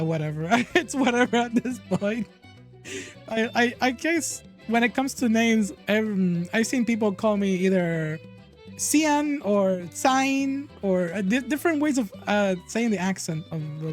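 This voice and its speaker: A host with a Puerto Rican accent keeps an online chat show loose and playful. The voice sits at a middle pitch, and the host laughs often while teasing the guest. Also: animated, measured pace, soft background music. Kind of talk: casual interview chat.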